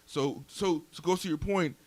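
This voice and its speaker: A man co-hosting a casual debate, arguing in slang with halting, stammered repetitions. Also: fairly high pitch. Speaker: podcast co-host. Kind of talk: casual debate, movie chat.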